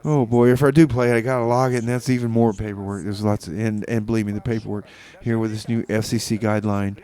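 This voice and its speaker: A man hosting a comedic community radio show between songs, talking in a halting, repetitive, mock-earnest way. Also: fairly low pitch, fairly even intonation, warm tone, clear, resonant tone. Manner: rambling, halting, repeats himself.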